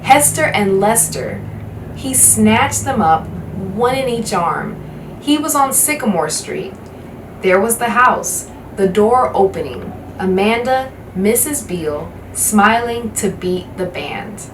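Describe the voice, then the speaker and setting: A woman reading a children's novel aloud with measured, dramatic phrasing. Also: mid-range voice, big pitch swings. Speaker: guest storybook reader. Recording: roomy, distant microphone, faint background noise.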